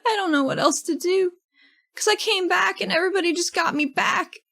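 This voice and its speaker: A woman playing a troubled, earnest regulator in a tabletop roleplay, speaking in a halting, pensive way and often trailing off. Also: extremely high pitch, gravelly, fairly bright tone, pitched above the performer's own voice, heavily acted. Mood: troubled, pleading. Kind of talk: improvised tabletop roleplay dialogue.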